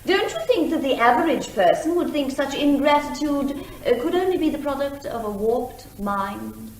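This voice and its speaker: A woman's voice: high-pitched, expressive, moderate pace. A woman playing an insistent barrister in a courtroom comedy drama, putting pointed, formal questions. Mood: pointed, exasperated. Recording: roomy, echoing sound.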